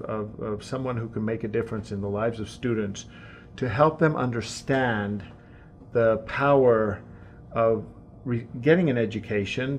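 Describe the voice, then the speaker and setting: A man interviewed as an incoming high school principal, earnest, often repeating words as he starts a sentence. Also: slight background noise. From Tanzania and Mexico. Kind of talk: interview answers. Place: school office.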